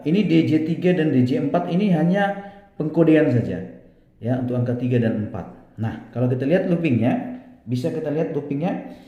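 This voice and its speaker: Mellow-voiced man teaching in an echoey room. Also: fairly high pitch, dull audio. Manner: didactic, often checking in with a tag word. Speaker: technical trainer. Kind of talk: informal technical lesson explaining a diagram. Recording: roomy, echoing sound.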